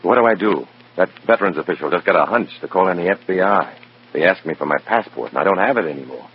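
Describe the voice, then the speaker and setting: An actor playing a tense undercover operative in an old radio drama, terse and hard-boiled, also narrating in the first person. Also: male voice, low voice, expressive, quick pace, slightly rough, exaggerated voice. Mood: anxious, urgent. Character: tense, cornered undercover operative posing as another man. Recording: dull, noisy vintage radio recording.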